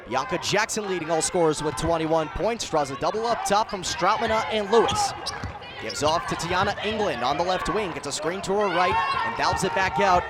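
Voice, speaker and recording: A young American man in his twenties calling live sports play-by-play as a broadcaster. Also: sits high. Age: 20s. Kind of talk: sports commentary and scripted sports update.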